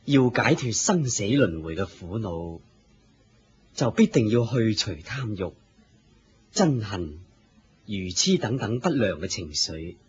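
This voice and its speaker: A man with a Hong Kong Cantonese accent playing a wise, authoritative spiritual teacher, measured and didactic, in a radio drama. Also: fairly low pitch, sing-song, breathy edge, heavily acted. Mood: calm, instructive. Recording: muffled lo-fi radio drama recording.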